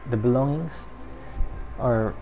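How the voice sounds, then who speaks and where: A man's voice: medium pitch, slow, muffled recording. A man with a Filipino accent, a native Tagalog speaker, giving casual game commentary in short reactions that trail off. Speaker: gaming streamer. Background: video game music.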